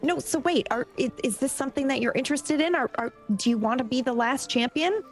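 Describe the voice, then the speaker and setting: A woman playing a tabletop game in character, talking in a quick, persuasive, chatty way. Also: sits high, soft background music. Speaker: player.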